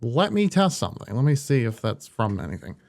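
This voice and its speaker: A man's voice: medium pitch, big pitch swings, fairly quick, gravelly, background noise. A man hosting a video, giving casual reaction commentary with rambling, informal asides. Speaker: host, horror video creator. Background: music.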